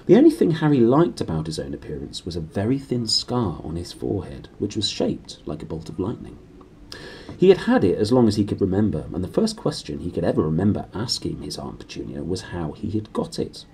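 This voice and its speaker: A British man reads a storybook briskly and expressively. His voice is lowish and relaxed, with very lively swings in pitch. There is faint noise from the room behind him. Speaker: reader. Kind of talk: brisk storybook reading to camera. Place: small decorated room indoors.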